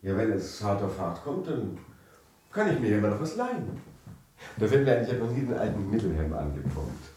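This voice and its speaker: Smooth, deep-voiced, swooping young husband. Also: male voice, very animated, fairly quick, mellow. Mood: evasive, casual. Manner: breezy, cajoling, evasive. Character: charming, broke, smooth-talking young English husband. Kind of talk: German radio drama acting. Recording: echoey room, distant mic, faint noise.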